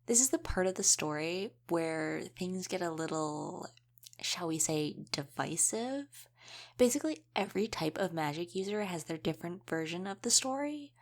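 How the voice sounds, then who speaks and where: A woman's voice: fairly low pitch, lively intonation, normal speed. A woman playing an easygoing young female wolf shifter in a casual audio roleplay, chatting and explaining things informally. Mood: relaxed explaining.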